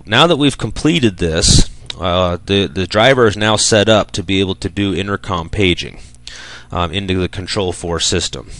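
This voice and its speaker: Guy with a deep voice walking thru a software setup step by step, really dry recording. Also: dry recording. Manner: plain, step-by-step explaining. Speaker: tutorial presenter. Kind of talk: software tutorial, casual explanation.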